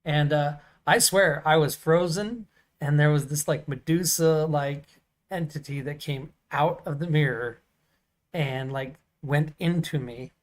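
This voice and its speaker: Loud, rambling man telling stories. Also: a little high, measured pace. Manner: loud voice that carries; rambling, many fillers and restarts. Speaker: podcast co-host. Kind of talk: casual storytelling chat.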